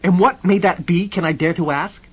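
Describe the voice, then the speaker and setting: A man voicing a hot-tempered, indignant man who sputters and shouts, on a muffled lo-fi recording. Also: high voice, normal speed, slightly rough, pitched above the performer's own voice. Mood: outraged.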